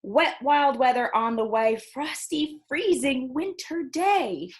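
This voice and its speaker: A woman leads a storytime for young children. Her voice sits a little high, and she takes her words very slowly. She reads and counts in a singsong, encouraging manner, speaking directly to her small listeners. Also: lively intonation. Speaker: children's library storytime host.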